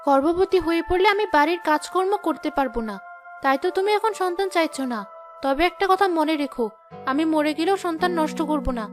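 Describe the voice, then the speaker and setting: A woman's voice: very high-pitched, quick pace. A woman voicing a hardworking, defiant young wife in a cartoon, aggrieved and protesting. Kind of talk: cartoon voice acting. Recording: music behind the voice.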